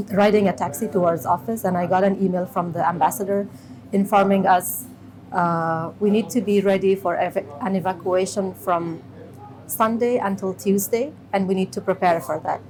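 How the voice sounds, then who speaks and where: A woman in her thirties from Afghanistan, giving a measured personal testimony with pauses and restarts. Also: mid-range voice, steady tone, a little mellow, poor audio. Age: 30s. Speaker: interviewee.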